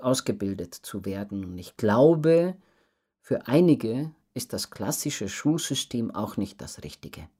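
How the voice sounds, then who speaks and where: A woman's voice: a bass voice, darkish tone, husky. A woman giving earnest, articulate answers as an interview guest. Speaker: interview guest, professional circus acrobat.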